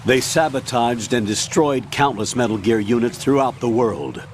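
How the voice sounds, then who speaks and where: An actor playing an older military colonel in a video game, authoritative, measured and guarded. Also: male voice, mid-range voice, animated, slight background noise, close to an everyday voice. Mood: grave. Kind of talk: video game cutscene acting.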